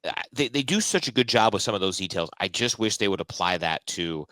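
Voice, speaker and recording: An American man, a sports radio announcer, chatting as an interview guest and thinking aloud in an animated way. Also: lowish voice, a little fast, no room sound. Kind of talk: casual interview chat.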